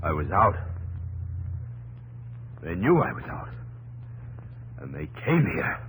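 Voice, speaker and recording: An actor playing a stubborn, hot-tempered frontier father, gruff and terse, in a 1950s radio drama. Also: low voice, sing-song, slow, a bit bright, heavily acted. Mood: grim, furious. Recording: noisy old radio broadcast with hum.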